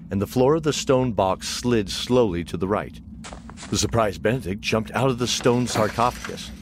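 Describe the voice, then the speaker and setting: A man's voice: on the low side, noisy background, natural voice. A man narrating a scripted fantasy audio drama as its storyteller.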